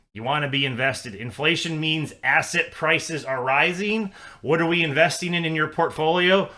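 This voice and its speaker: A man's voice: a little high, bright, forceful tone. A man giving a casual, upbeat client update as a financial advisor, chatty with frequent fillers.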